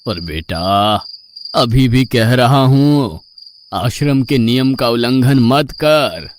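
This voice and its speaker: Brief: strict, patient old guru, unhurried and admonishing, voiced by a man, mid-pitched. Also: animated, a little hiss or hum. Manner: slow, admonishing, fatherly. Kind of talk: Hindi cartoon story dubbing.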